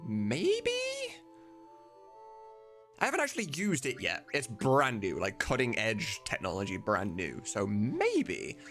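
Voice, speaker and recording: A man's voice: lowish voice, huge pitch swings, slow, fairly bright tone, faint music behind. A man chatting casually on a live stream, rambling and informal with some swearing. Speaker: live streamer and game modder.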